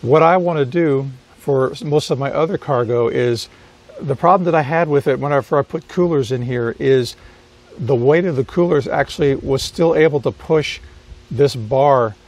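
A middle-aged man reviewing a roof cargo basket outdoors beside his car, talking in a relaxed, explanatory way with fillers and restarts. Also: medium pitch, moderate pace. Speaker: product reviewer. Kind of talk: casual product review to camera.

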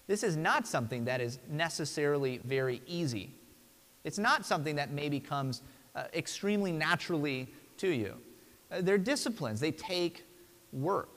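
Conversational young pastor in a reverberant church. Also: male voice, fairly high pitch, animated, normal speed, fairly bright tone. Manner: conversational, frequent tag "right?". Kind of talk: sermon. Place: church sanctuary.

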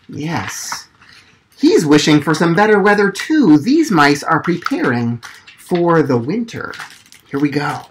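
A man in his thirties hosting storytime and reading picture books in an encouraging, expressive way to young children, recorded on a camera mic in a small room. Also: fairly high pitch, a little hiss or hum. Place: small decorated indoor room. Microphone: camera a short distance away. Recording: roomy echo from a camera mic.

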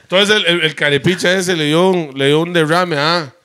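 A Costa Rican man co-hosting a podcast, telling crude, animated stories in a casual chat on a handheld mic. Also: a little high, normal speed, smooth. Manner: crude, animated storyteller, acts out voices. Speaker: podcast co-host. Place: studio set like a living room.

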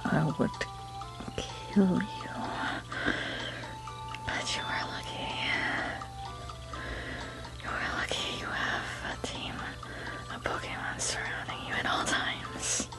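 A woman voicing a gloomy, soft-spoken character for an amateur comic dub, murmuring in a slow, melancholy way. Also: in a whisper, music in the background, natural voice. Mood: quietly threatening. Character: gloomy, soft-spoken top-hatted character sighing "alas".

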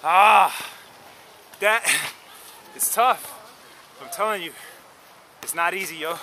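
A man in his thirties on an outdoor basketball court, talking straight to the camera in an energetic, slangy, encouraging way while tired from drills. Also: high-pitched, takes it slowly, vocal fry, noisy background. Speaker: basketball vlogger. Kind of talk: casual coaching talk to camera. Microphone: camera mic close up.

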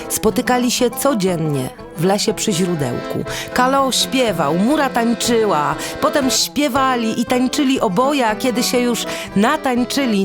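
A woman storyteller narrating a folk tale dramatically, deliberately, with suspenseful pauses. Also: medium pitch. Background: music.

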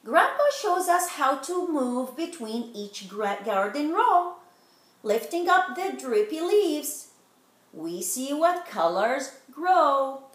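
A woman's voice: high-pitched, sing-song, slow pace, fairly bright tone. A middle-aged woman reading a children's picture book aloud, stretching out rhyming words expressively. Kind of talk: animated children's read-aloud. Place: living room at home, indoors. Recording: roomy home recording.

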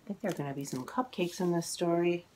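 A woman in her forties reading a children's picture book aloud, expressive and exclaiming, drawing out words for young listeners. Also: lowish voice, quick pace, slight background noise. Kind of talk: animated children's read-aloud storytelling. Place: living room at home, indoors.

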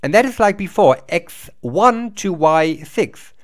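A middle-aged man lecturing from a small room to a webcam. His pitch moves up and down a lot as he explains step by step, and he often repeats or restarts a word mid-sentence. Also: expressive, takes it slowly. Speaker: university lecturer. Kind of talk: recorded lecture over slides.

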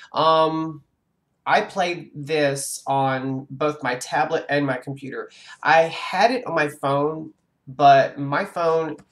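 A man in his forties, congested, chatting casually on a live stream while playing a game, rambling with many ums and restarts. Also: on the high side. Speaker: gaming streamer. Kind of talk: casual live-stream chat over gameplay. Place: home room with a webcam.

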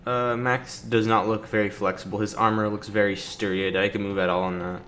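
A man's voice: lowish voice, little pitch movement, a little fast, a bit bright. A young man giving casual, impatient, sarcastic commentary on a video game, sometimes reading its dialogue aloud. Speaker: gaming streamer. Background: video game music.

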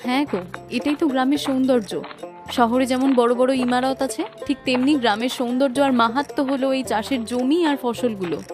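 A woman playing an affectionate, bossy wife in a cartoon fairy tale, with a Bengali accent and an expressive manner. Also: high voice, a little fast, music in the background, natural voice. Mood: pleased. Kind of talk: cartoon voice acting, domestic dialogue.

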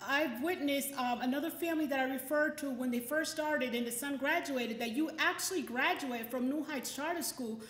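A middle-aged woman speaks at a poetry event in a notably high voice. Her delivery is emphatic and declamatory, like someone reading to be heard. The microphone picks up a clearly echoey room. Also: normal speed, hardly any pauses, a bit bright. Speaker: poetry event host and poet. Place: indoor library event room. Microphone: microphone on a stand. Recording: roomy, echoey event space.

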